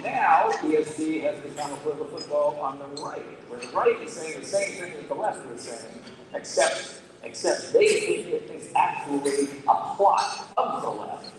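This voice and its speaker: A man moderating a panel discussion, asking long questions and often halting with fillers. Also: fairly high pitch, expressive. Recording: distant mic in an echoey hall with crowd.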